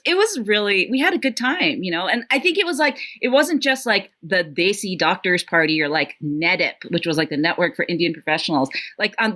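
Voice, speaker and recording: A woman in her fifties who grew up around New York, a podcast guest telling lively stories with laughs and plenty of "like" and "you know". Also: lowish voice. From Greater New York area. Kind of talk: casual storytelling chat.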